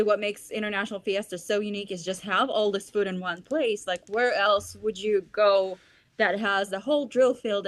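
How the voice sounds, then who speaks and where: A woman's voice: mid-range voice, no echo. Young woman, Belarusian accent, native Russian speaker; enthusiastic guest with filler-heavy speech, dry studio sound. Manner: enthusiastic, frequent ums and uhs. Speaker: festival organizer guest. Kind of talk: casual interview chat.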